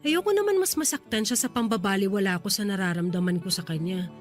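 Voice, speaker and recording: A woman's voice: medium pitch, quick pace, faint music behind, lightly acted. A woman with a Filipino accent playing a sweet, playful younger sister in a radio drama. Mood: worried, earnest.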